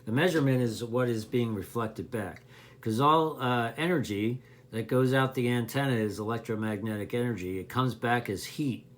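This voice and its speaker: A man with a mid-pitched voice talks as a hobbyist explaining things to newcomers. His delivery is unscripted and casual, with a folksy, rambling flow. He corrects himself along the way. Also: normal speed. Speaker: CB radio hobbyist explaining gear to beginners.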